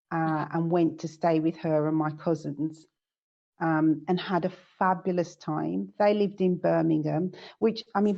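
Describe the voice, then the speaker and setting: A woman's voice: low-pitched, vocal fry, warm tone. A woman being interviewed, recalling her childhood in a hesitant way, with many ums and restarts. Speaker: guest. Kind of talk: casual interview chat. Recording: dull lo-fi sound.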